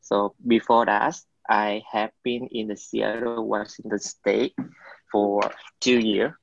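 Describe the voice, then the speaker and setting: Vietnamese accented guy in his 20s, gravelly low voice, slow and halting, dull dry lo-fi sound. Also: level tone, slight background noise. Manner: hesitant, searching for words. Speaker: interviewee, college student. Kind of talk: halting interview answers.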